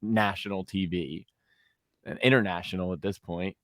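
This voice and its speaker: A man's voice: low-pitched, normal speed, dry recording, studio quality. A man co-hosting a music review stream, chatting casually with lots of fillers. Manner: chatty, many fillers, excitable.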